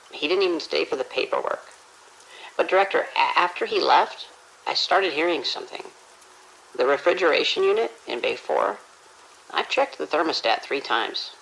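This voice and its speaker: An actor playing a frightened, overworked morgue attendant in an audio drama, uneasy and insistent. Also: male voice, a little high, gravelly, pushed and bright, slight background noise, exaggerated voice, rough recording. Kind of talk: radio drama acting.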